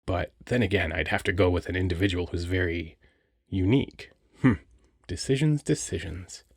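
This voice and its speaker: A man's voice: fairly low pitch, a little mellow, breathy edge, no echo, clean studio sound. A man playing a cheerful, flirty Christmas elf toymaker in a romantic audio roleplay, chatty and teasing with the listener. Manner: playful, teasing, bubbly, chatty.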